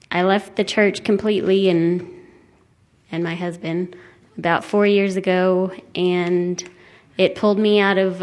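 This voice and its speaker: A woman shares a personal testimony with a group. Her voice is slightly low and stays quite flat, and she moves very slowly, hesitating between phrases. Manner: hesitant, halting. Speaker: attendee sharing personal story at a conference.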